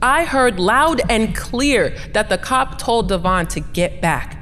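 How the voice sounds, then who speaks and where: A woman's voice: on the high side, animated, slow pace, fairly bright tone, faint music behind. Woman playing a defensive witness, echoing room. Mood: distressed. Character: defensive, upset female courtroom witness. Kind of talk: courtroom audio drama. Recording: reverberant room.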